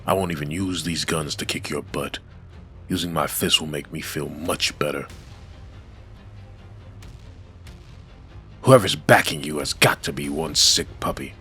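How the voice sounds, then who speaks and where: A man playing a brooding, wrathful undead demon antihero with a husky, blunt, menacing delivery in a comic dub. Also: a bass voice, moderate pace, sharp tone, soft background music. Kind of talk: dramatic comic dub acting.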